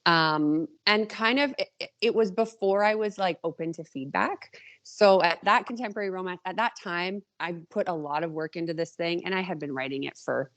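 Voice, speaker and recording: A woman's voice: fairly low pitch, normal speed, few pauses, a bit bright. A woman guest chatting informally and telling anecdotes, often acting out her own thoughts as she goes. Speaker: podcast guest, indie romance author. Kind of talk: casual chat, telling anecdotes.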